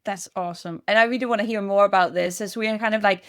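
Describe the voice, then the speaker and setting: A woman hosting a podcast, asking questions in an enthusiastic way with quick laughs and restarts. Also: mid-range voice, fairly even intonation, a bit bright, no room sound, clean studio sound. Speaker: podcast host. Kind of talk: casual interview chat.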